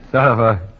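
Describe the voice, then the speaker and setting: A man's voice: on the low side, slight background noise. An actor playing a flustered, impatient wealthy young man who bluffs and then turns boyishly shy, in a 1940s radio play. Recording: muffled 1940 radio broadcast.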